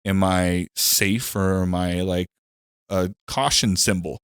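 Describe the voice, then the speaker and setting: Low-voiced joking man in his thirties. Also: fairly even intonation, slow pace, fairly bright tone, smooth, no echo, clean studio sound. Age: 30s. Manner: joking, loose, swears casually. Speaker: podcast host. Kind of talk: casual comedic chat.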